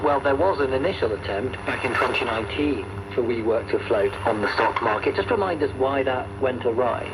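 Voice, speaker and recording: Noisy shortwave broadcast, man, medium pitch, scripted news presenting and interviewing. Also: animated, brisk. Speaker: radio news programme presenter. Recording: noisy shortwave radio reception.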